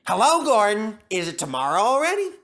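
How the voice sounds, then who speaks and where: A man's voice: high-pitched, wildly swooping pitch, a little fast, a bit bright, pitched above the performer's own voice, full character voice. A man voicing an eager, cheeky little male steam engine in a children's story, with a perky manner. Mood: teasing. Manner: perky, teasing or eager to please.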